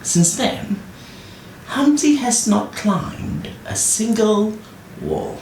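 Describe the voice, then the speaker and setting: Slow, animated woman reading a bedtime story. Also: mid-range voice. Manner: dramatic pauses, deliberate phrasing. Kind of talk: expressive children's story narration. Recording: roomy, distant, faint noise.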